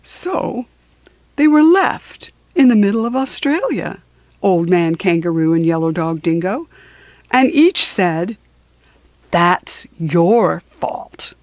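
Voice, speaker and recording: A woman's voice: mid-range voice, big pitch swings, a little slow, darkish tone, dry recording. A woman narrating a children's storybook expressively, with dramatic, rhythmic emphasis.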